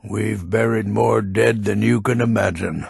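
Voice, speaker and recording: A man playing a gruff, grumpy but honourable old Nord hunter in a fantasy video game, with a gravelly, husky voice and a weighty, deliberate manner. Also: lowish voice, steady tone, no echo, clean studio sound, exaggerated voice. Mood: solemn. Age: older.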